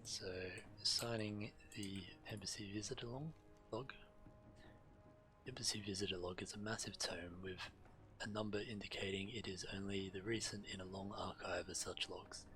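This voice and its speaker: A man's voice: fairly low pitch, fairly even intonation, moderate pace, bright, forceful tone. A man streaming a video game, reading quest text aloud and adding casual commentary. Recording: game music playing behind. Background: video game music.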